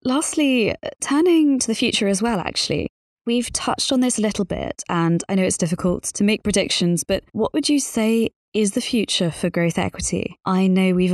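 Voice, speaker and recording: A woman hosts a business interview in a medium-pitched voice. She moderates politely, handing questions to guests, and the sound is dry with no room around it. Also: darkish tone, dry recording.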